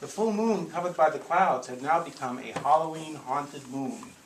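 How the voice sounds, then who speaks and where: Male storyteller, fairly high-pitched, theatrical, deliberate, playful, echoey room, noticeable background noise. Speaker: live storyteller. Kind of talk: dramatic spoken storytelling to a live group. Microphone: small consumer video camera in the room. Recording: echoey noisy room, consumer camcorder audio.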